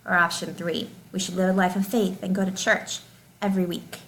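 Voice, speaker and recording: A woman's voice: on the low side, little pitch movement, a little fast, vocal fry, a bit bright, poor audio. Woman reading a test aloud. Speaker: teacher. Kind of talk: reading test questions and answer options aloud.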